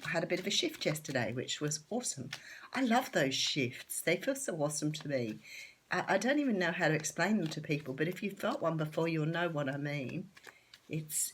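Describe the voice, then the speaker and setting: A woman's voice: deep voice, slight background noise. A woman with an Australian accent, nasal and sore-throated from a cold, chatting casually and enthusiastically through a tarot reading. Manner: chatty, enthusiastic, many ums. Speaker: tarot reader.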